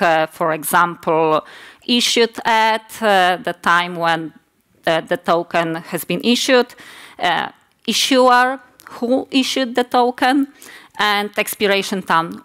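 Slow, halting woman with a Polish accent. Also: medium pitch, a bit bright, smooth. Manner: measured, with frequent hesitations and self-corrections. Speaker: conference speaker. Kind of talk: technical conference talk. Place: large conference hall stage.

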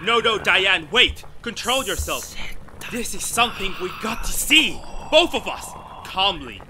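A man playing an excitable, skeptical scientist in a retro radio drama, melodramatic and halting, increasingly astounded. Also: sits high, noisy background. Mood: agitated wonder.

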